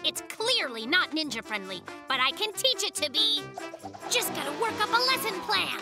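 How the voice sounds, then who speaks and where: Cartoon bear cub: very high, swooping delivery, boastful and theatrical would-be ninja, over prominent music. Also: big pitch swings, moderate pace. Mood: eager. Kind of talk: children's cartoon voice acting.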